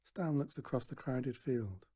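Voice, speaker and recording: A man reading a children's story aloud in an expressive narrating style. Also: mid-range voice, unhurried, slightly rough, no echo, studio quality. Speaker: storybook reader.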